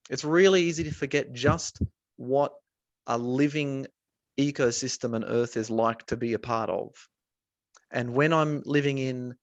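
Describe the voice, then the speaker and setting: A man from Australia talking casually in a webinar, pausing often with fillers and restarts, speaking from an office building. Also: mid-range voice, dry recording. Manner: hesitant, many restarts and fillers. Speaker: researcher.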